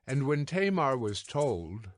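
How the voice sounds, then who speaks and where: A man giving measured, solemn scripted narration of scripture. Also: mid-range voice, sing-song, no echo.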